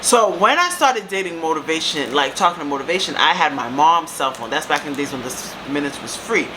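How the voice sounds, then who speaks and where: A woman in her thirties with a deep voice, telling a casual storytime to her viewers and calling them baby and girl. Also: a little fast, a crowd in the background, low-quality recording. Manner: chatty, calls viewers baby and girl, lively asides. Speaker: storytime vlogger. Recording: steady air-conditioner hum behind.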